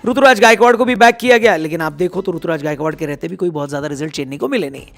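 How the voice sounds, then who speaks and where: A hoarse man giving casual cricket news commentary, chatty and teasing as he talks straight to his viewers. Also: fairly high pitch, very fast, a bit bright.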